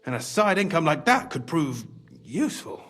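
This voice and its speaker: A scheming, excitable game official with a high voice that swings dramatically. He talks in wordy, exclamatory bursts with a conspiratorial, pragmatic air. The tone is husky, over faint music. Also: moderate pace. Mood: calculating. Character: cunning, excitable scheming male mine official, smugly pragmatic. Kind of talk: video game cutscene acting.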